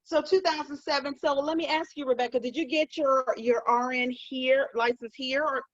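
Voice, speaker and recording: A woman's voice: sits high, fairly even intonation, moderate pace, dry recording. Encouraging woman host, dry lo-fi sound. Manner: encouraging, frequent short affirmations. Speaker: podcast host. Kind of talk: casual interview hosting.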